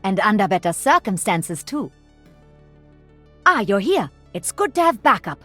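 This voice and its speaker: A woman's voice: medium pitch, very animated, measured pace, fairly bright tone. A woman playing a principled, kindly battlefield medic in a video game. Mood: warm. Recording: music behind the voice.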